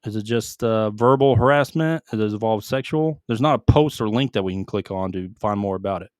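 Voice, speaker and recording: A man's voice: lowish voice, a little fast, darkish tone, no room sound, clean studio sound. Man reading gaming news on a podcast. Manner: reads headlines aloud with asides and stumbles on names. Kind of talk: casual gaming podcast chat.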